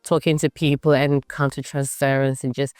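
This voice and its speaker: A woman in her thirties from Ghana, a guest in a casual interview, talking in a reflective way with sudden jumps in pitch. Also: level tone, normal speed, darkish tone, no room sound.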